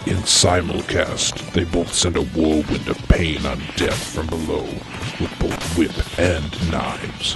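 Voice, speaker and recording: A man narrating a scripted comedic adventure story in a deadpan manner. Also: a bass voice.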